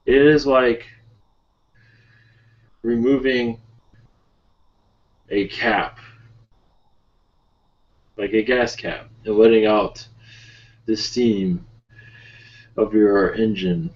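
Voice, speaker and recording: A man voicing an ancient otherworldly spirit guide in a calm, solemn, halting manner with measured, broken phrasing. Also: on the low side. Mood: calm, earnest. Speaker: channeler leading a webinar session. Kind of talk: slow improvised channeled speech. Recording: muffled lo-fi webinar call audio.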